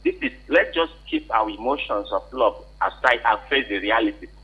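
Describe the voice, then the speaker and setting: A man's voice: medium pitch, expressive, moderate pace, breathy edge. A man with a Nigerian accent giving unscripted advice as a radio caller, insistent and questioning, repeating points for emphasis. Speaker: call-in contributor. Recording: muffled, tinny phone line.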